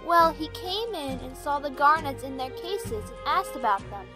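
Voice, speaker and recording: An actress playing a polite, sincere young pony shopkeeper who speaks earnestly in an audio drama. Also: female voice, sits high, lively intonation, moderate pace, over music. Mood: helpful, recalling.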